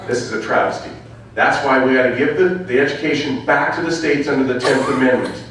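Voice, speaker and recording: A man's voice: mid-range voice, brisk, a bit bright, a little hiss or hum. A man running for office giving a fiery, emphatic answer at a public forum. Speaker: political candidate. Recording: echoey hall from far off.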